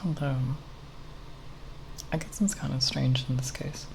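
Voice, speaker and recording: Soft, creaky, halting ASMR rambler. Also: mid-range voice, noisy background. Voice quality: soft, breathy, partly whispered. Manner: hesitant, halting, with long pauses. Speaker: ASMR video creator. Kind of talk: ASMR, unscripted nerdy ramble.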